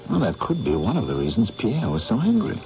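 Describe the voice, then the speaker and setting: Serious English painter, low mellow voice, old dull radio recording. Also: male voice, wildly swooping pitch, a little fast. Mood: firm. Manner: firm, earnest, formal. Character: earnest, serious English painter, adult man. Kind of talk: 1970s radio drama acting. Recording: dull old radio broadcast with faint hiss.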